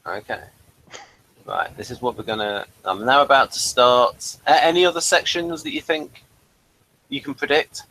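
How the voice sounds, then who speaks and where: Halting man chatting as review host. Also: medium pitch, sharp tone, rough recording. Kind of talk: casual chat in a remote co-hosted review.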